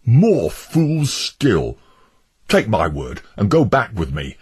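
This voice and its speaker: A man voicing a stubborn, scornful male neighbour who blusters and mocks, in a husky voice. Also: fairly low pitch, wildly swooping pitch, slow pace, darkish tone, pitched above the performer's own voice, exaggerated voice.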